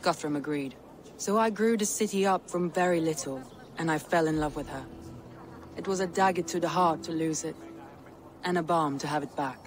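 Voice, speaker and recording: Stern female Viking chieftain, played by an actress, low measured voice, game cutscene, clear ambience behind. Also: slight background noise, close to an everyday voice. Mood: earnest. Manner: measured, solemn delivery. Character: stern Viking clan leader woman, grave and resolute. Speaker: video game character. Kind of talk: dramatic video game cutscene acting.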